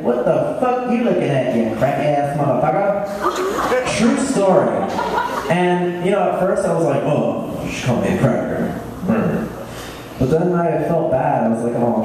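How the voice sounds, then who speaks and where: A man performing a stand-up set at an open mic in a bar, talking in a deadpan, hesitant way with plenty of ums. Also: medium pitch, unhurried, recorded from a distance. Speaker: stand-up comedian. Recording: echoey room mic'd from afar, crowd noise.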